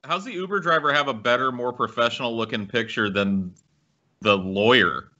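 A man's voice: mid-range voice, moderate pace, pushed and bright, lo-fi sound. A man co-hosting a podcast with blunt, profane, casual commentary. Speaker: podcast co-host.

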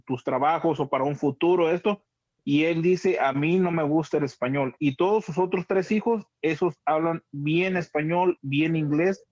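A man's voice: fairly high pitch, darkish tone, no room sound, muffled recording. A man with a Mexican accent chatting casually as a co-host, talking in a rambling, repetitive way. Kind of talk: casual chat.

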